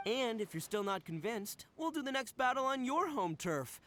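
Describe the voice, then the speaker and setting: An actor playing a loud, boastful, good-natured young gang boss in a video game, with a slangy, bombastic manner. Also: male voice, extremely high pitch, sing-song, normal speed, full character voice. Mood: generous, breezy. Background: game music.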